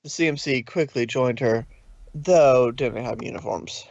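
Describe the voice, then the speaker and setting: A man's voice: medium pitch, a little slow, vocal fry, darkish tone, no echo, lo-fi sound. A man narrating a story informally, with a loose delivery in which he sometimes corrects himself. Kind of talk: informal fan fiction reading.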